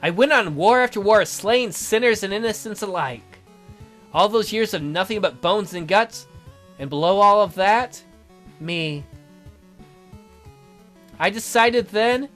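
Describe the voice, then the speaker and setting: A man's voice: high voice, a little slow, long pauses, fairly bright tone, soft background music. A man voicing a weary young noblewoman who speaks in an earnest, confessional way. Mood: grim. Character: weary, sorrowful young noblewoman, regretful ruler.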